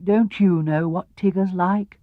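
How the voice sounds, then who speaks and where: A man voicing a gentle, slow-witted little toy bear in a children's storybook, earnest and a bit hesitant. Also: sits high, fairly even intonation, no room sound, phone-quality sound, pitched above the performer's own voice. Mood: puzzled.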